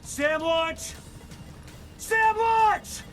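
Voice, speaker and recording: An actor playing a male helicopter pilot shouting warnings as a missile comes at him. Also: extremely high pitch, extremely slow, soft background music, full character voice. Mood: alarmed.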